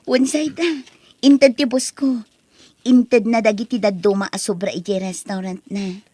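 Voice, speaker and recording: A woman playing a humble, pleading young single mother in an Ilocano audio drama, with an Ilocano accent and a breathy, husky voice. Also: medium pitch, steady tone, measured pace, mellow, no echo, close to an everyday voice. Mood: subdued. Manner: pleading, emotional.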